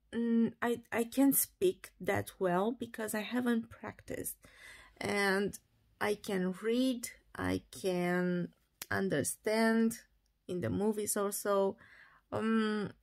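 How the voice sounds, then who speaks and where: A woman in her thirties with a Romanian accent, vlogging casually at home into a phone held at arm's length, talking in a slow, relaxed and sleepy way. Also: medium pitch. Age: 30s. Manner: slow, relaxed and sleepy, with frequent laughs and restarts. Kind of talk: casual selfie vlog. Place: bedroom and bathroom at home, indoors.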